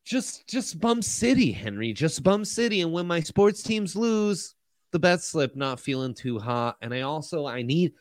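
A man's voice: on the high side, very animated, measured pace, dry recording. A man from Italy hosting a casual sports betting chat, joking and sarcastic as he talks. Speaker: podcast host.

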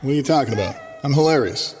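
A man playing a dry, superior robot pest-control technician in an audio fiction comedy, clinical and deadpan. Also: medium pitch, rapid-fire, loud music behind the voice, close to an everyday voice. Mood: deadpan, irritated.

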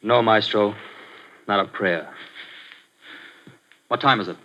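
An actor playing an earnest young boxer who talks in a plain, direct way, in a 1940s radio drama. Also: male voice, on the low side, slow, sharp tone. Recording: hissy lo-fi old radio recording.

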